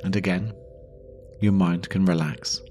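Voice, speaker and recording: A man guiding a yoga nidra meditation, giving gentle instructions slowly with long pauses. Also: deep voice, steady tone, faint music behind. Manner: slow, pausing, gentle instruction. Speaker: meditation guide.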